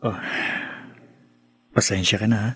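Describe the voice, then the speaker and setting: An actor in a radio drama playing a conciliatory young husband and dutiful son, a little hesitant in manner. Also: male voice, fairly low pitch, level tone, measured pace, breathy edge, no echo. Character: placating young husband, dutiful son caught between women.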